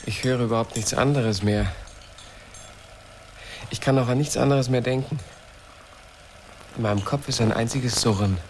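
A man's voice: medium pitch, moderate pace, long pauses, a little mellow, lightly acted. A man acting in a German radio play as a tormented, obsessive engineer, agitated, pleading and insistent. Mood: anxious, obsessed. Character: tormented, obsessive male engineer hounded by a whirring. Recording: clearly noisy old radio production.

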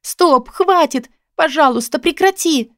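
A desperate young woman in an audiobook drama, played by a woman reader, pleading through tears. Her voice leaps high and sounds frantic and terrified. The recording is close and dry. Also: a little slow, a bit bright, dry recording. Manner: frantic, sobbing pleas. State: crying.

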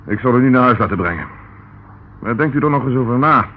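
An actor playing a calm, dryly ironic male police inspector in a radio drama. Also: mid-range voice, fast, lightly acted. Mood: assured. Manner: dry, composed, ironic. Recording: tinny, noisy old radio recording.

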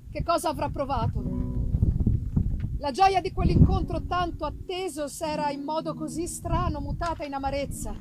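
A woman giving a theatrical, deliberate and expressive first-person reading of a literary story. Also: high voice. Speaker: reader. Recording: noisy live festival recording.

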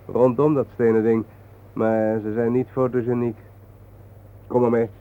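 An actor playing a calm, cryptic guide who speaks in a measured, enigmatic way in a radio play. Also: male voice, mid-range voice, natural voice. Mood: wry. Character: calm, cryptic male guide named Hein, explaining mysteries. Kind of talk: Dutch radio play acting. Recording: noisy, narrow-band old radio recording.

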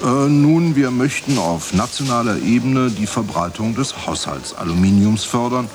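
A man's voice: medium pitch, animated, moderate pace, close to an everyday voice. A man playing a self-important association president in a radio drama, answering an interview in a patronizing, lecturing tone. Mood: smug. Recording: clearly noisy background.

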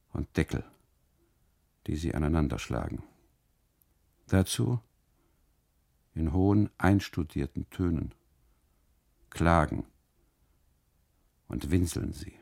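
A man narrating a literary radio monologue in deliberate phrases, with dramatic pauses and emphasis. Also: low voice, vocal fry, dark tone, slightly rough. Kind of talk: literary first-person narration, 1980s German radio play.

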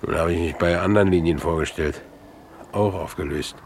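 A man's voice: deep voice, a little fast, slight background noise. An actor playing a weary, jobless man on the run, terse and resigned, in a 1950s German radio drama. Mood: bitter, resigned. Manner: terse, resigned, plain-spoken. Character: weary, jobless man fleeing with his young niece.

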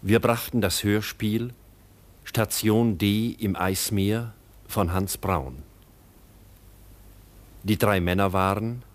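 Male announcer, formal credits reading, lowish voice, very slow. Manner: measured, formal. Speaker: radio announcer.

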